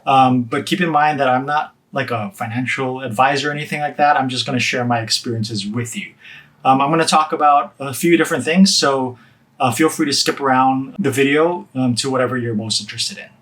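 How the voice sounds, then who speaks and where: A man's voice: medium pitch, fairly quick, fairly bright tone. Casual American man explaining things. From Hawaii, USA. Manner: informal, conversational. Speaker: vlogger sharing personal money tips.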